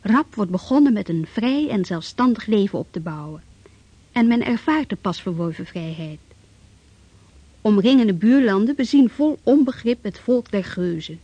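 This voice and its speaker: A woman narrates a historical tale for a radio drama, grave and measured. Her voice is mellow and a little husky. The dry old recording is dull and lo-fi, with faint music under her. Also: mid-range voice, lively intonation, no room sound.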